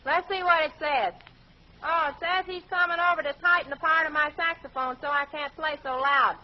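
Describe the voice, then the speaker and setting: A woman's voice: sits high, fairly even intonation, brisk, lightly acted. A woman playing a plucky, folksy comic young lady in a 1940s radio comedy. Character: brash, folksy comic young lady, undaunted amateur musician. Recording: dull, very noisy old radio recording.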